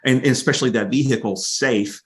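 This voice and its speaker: Male podcast interviewer, medium pitch, conversational delivery. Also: fairly even intonation, a little fast.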